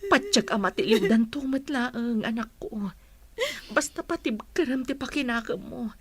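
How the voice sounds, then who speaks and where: A woman's voice: a little high, lively intonation, moderate pace, slightly rough, no echo. A woman playing a worried, soothing mother in a radio drama, earnest and pleading, with an Ilocano accent. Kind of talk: Filipino radio drama acting.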